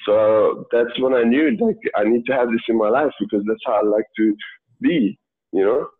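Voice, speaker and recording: A man in his thirties who grew up in Sweden, talking casually as a guest, halting with many fillers and restarts. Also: mid-range voice, big pitch swings, slightly rough. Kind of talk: casual interview talk. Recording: tinny phone-like line.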